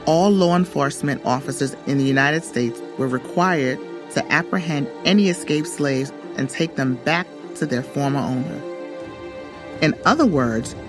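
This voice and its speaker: A woman reading a scripted story for children as its narrator. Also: low-pitched. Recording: music under the voice. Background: background music.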